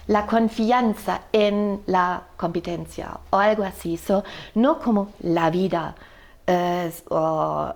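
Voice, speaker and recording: A woman's voice: mid-range voice, creaky, a little mellow. A middle-aged woman from Germany with a German accent, a law professor explaining in an interview, hesitant, with many fillers and self-corrections. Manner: halting, frequent fillers and self-corrections, says "so".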